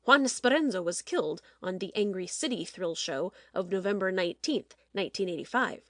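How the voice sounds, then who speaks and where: A woman playing a domineering, cold-blooded TV producer in a dramatic audiobook, speaking in a forceful, pointed way. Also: medium pitch, lively intonation, fairly quick, dry recording, studio quality, lightly acted. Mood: grave, scheming. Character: hard, calculating TV show producer, domineering.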